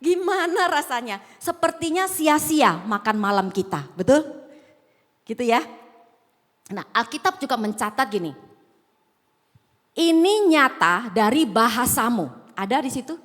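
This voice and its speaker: A woman's voice: on the high side, expressive, a little slow, pauses often. A woman pastor from Sumatra with a slight Javanese accent, preaching a sermon in a conversational way and asking the congregation questions. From Sumatra, Indonesia. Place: large auditorium. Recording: echoing hall.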